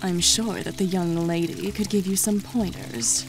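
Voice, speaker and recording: A woman acting a proud, teasing, predatory warrior with a smug, measured manner in a fantasy audiobook. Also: on the low side, steady tone, over music. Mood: smug, menacing.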